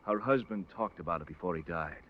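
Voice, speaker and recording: An American actor playing a distraught confessed killer turned informer, halting and anguished in 1950s radio drama style. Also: male voice, low voice. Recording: muffled vintage radio recording.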